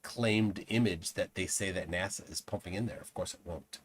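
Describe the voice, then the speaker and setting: A man's voice: deep voice, normal speed. A man in a casual group chat, explaining his point with wry, offhand asides. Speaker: panelist.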